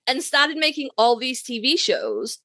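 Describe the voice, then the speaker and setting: A woman's voice: high-pitched, measured pace, dry recording, studio quality. A woman co-hosting a podcast in casual chat, blunt and sweary as she tells stories. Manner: blunt, sweary, animated storytelling. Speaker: podcast co-host.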